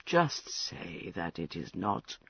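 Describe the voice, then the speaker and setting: An actor playing a drunk, lovesick, melancholy prince who talks in slow, slurred, pleading phrases. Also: male voice, low-pitched, wildly swooping pitch, vocal fry, breathy edge, dry recording, heavily acted. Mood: mournful. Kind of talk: audiobook character acting. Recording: dull lo-fi recording.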